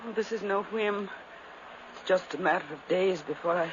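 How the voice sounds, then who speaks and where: Dying aristocratic sister played by a woman, imperious and pleading, theatrical 1950s radio acting, muffled recording with clear noise. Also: fairly low pitch, normal speed, lightly acted. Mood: urgent pleading. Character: dying, fearful, domineering twin sister, Lady Madeline.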